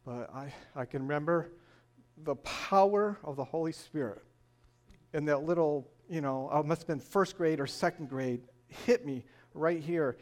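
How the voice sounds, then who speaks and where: A man's voice: fairly high pitch, unhurried. An older man from Michigan with a northern Michigan accent, telling his personal faith testimony in an earnest, storytelling way with frequent "uh"s. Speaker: church testimony speaker.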